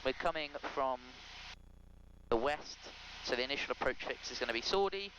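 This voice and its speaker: A man's voice: medium pitch, lively intonation, normal speed, muffled recording, noisy background. A man in his thirties on an aviation headset in a small plane, briefing procedures in methodical, clipped checklist phrases. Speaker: pilot. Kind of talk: procedural cockpit briefing between pilots. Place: small light aircraft cockpit in flight. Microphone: aviation headset boom mic.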